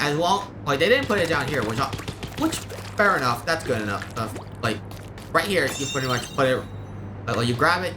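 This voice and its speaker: A man giving unscripted live game commentary in a rambling, opinionated way, explaining as he goes. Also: medium pitch. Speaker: game streamer. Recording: clear background noise.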